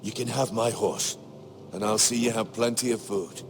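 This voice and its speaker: An actor playing a prudent older castle steward, loyal and grave, speaking in a measured, earnest, formal way in a fantasy game drama. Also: male voice, fairly low pitch, fairly even intonation, soft background music, natural voice. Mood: solemn, consoling.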